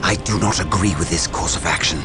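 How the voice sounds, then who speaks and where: An actor playing a dutiful but impatient military colonel in a video game cutscene, speaking formally and emphatically to a superior. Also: male voice, sits low, fairly quick, close to an everyday voice. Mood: indignant, urging. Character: male Imperial Guard colonel, dutiful, anxious, impatient officer. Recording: music behind the voice. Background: music.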